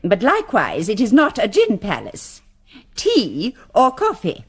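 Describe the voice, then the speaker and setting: A woman's voice: fairly high pitch, huge pitch swings, measured pace, husky, exaggerated voice. An actress playing a stern, prim elderly English landlady in a radio play, curt, haughty and formal in manner. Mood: prim, brisk. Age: older.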